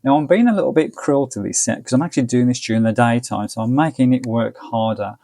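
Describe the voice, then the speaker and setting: A man's voice: mid-range voice, quick pace, vocal fry, warm tone, dry recording. An English man giving a casual, easygoing hands-on product review in colloquial step-by-step commentary. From United Kingdom. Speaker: gadget reviewer.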